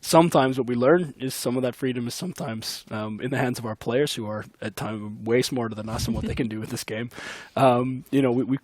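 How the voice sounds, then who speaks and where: A man's voice: medium pitch, no echo. A man speaking as a game developer on a panel, explaining in an informal way and repeating words while he thinks. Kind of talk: casual developer discussion.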